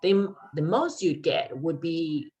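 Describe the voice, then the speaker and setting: Woman playing a scheming crime writer in a stage play reading, low voice, earnest, pushy, stumbles a bit. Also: expressive, darkish tone, breathy edge, exaggerated voice. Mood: pressing. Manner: persuasive, earnest, halting. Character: eager crime writer scheming to save a condemned friend. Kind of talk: amateur readers theater performance.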